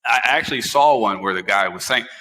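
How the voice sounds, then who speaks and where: A bright, forceful American man in his forties talks in a long, reverberant room. He hosts a casual talk show and tells stories in an animated, repetitive way, leaning on phrases for emphasis. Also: mid-range voice, brisk, slightly rough. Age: 40s. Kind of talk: casual chat, personal storytelling.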